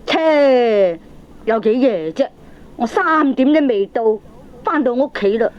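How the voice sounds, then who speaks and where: A woman playing a sharp-tongued middle-aged gambling wife in a comedy radio drama, scolding sarcastically in Hong Kong Cantonese. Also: a little high, animated, takes it slowly. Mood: indignant. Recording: dull old cassette radio drama recording.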